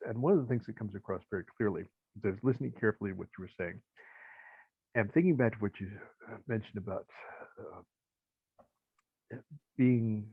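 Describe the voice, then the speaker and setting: A man hosting an interview, asking questions in a measured, reflective way. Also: lowish voice, husky, no room sound, narrow, tinny audio. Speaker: podcast host.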